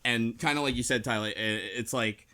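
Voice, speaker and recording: A man's voice: medium pitch, moderate pace, sharp tone, a little hiss or hum. A man hosting a casual book club podcast, talking in a rambling, self-correcting way. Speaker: podcast host.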